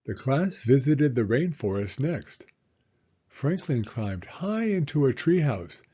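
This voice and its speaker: Husky, slow-paced male storybook reader. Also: medium pitch, muffled recording. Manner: expressive, deliberate storytelling. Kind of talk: children's read-aloud narration.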